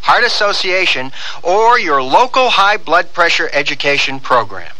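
Very bright, crisp male radio announcer. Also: fairly high pitch, big pitch swings, normal speed, no room sound, poor audio. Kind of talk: scripted radio public service announcement.

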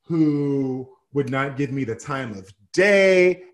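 A man in his thirties, a podcast guest telling stories in a casual interview, animated and playful with sassy asides. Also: mid-range voice, slow pace. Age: 30s.

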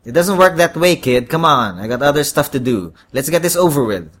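A man's voice: fairly high pitch, lively intonation, a little fast, a bit bright. A man voicing an impatient, weary devil who talks like a streetwise, wisecracking salesman in an audio story. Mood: impatient, pitching. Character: brash, impatient horned devil, a weary wheeling-dealing salesman.

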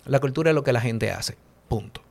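A man's voice: medium pitch, a little fast, gravelly, darkish tone. A man from the Dominican Republic with a Dominican accent, a guest in a casual philosophical chat, thinking aloud with many fillers and asides. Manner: talks things through with many fillers and "¿tú entiendes?" asides.

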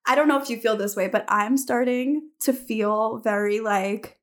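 A woman's voice: fairly high pitch, normal speed. A woman in her thirties talking casually about acting, rambling and filling her sentences with "like". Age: 30s. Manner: rambling, heavy use of "like". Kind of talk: casual chat.

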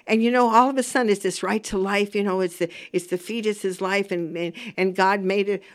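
A woman's voice: medium pitch, steady tone, brisk. A woman hosting a radio show, talking informally and emphatically, rambling through opinions and repeating phrases for weight. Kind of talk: informal radio monologue with personal opinion and anecdote. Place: radio studio.

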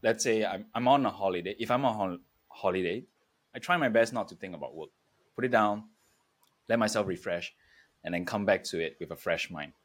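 Low-voiced man, halting and reflective. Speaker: podcast guest, entrepreneur. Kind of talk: reflective interview talk.

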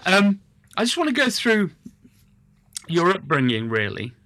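A man interviewing a guest in a relaxed, rambling way, full of hesitations and restarts. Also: sits high, a little slow, no echo. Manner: rambling, hesitant, self-correcting. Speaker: interviewer and musician. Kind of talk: casual conversational interview.

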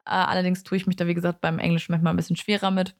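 A woman's voice: on the low side, level tone, rapid-fire. A young adult woman, a native German speaker, giving a casual, chatty book review in an informal, candid way, often correcting herself.

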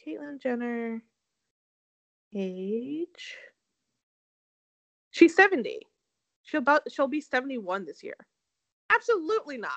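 Lively, blunt young woman gossiping. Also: high voice, slow, gravelly, long pauses, dry recording. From Connecticut. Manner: blunt, catty, laughs often, lots of ums and likes. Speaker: podcast co-host. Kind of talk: casual gossip chat.

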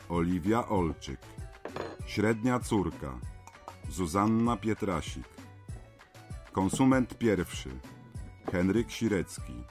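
A man's voice: low voice, a little mellow. A man reading audio drama credits as an announcer in scripted narration. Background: music.

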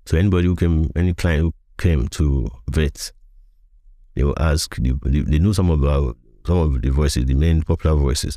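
A man's voice: a bass voice, dark tone, dry recording, studio quality. A middle-aged man with a Nigerian accent, recounting past events in an interview, often repeating and rephrasing as he goes. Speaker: guest, veteran voiceover artist.

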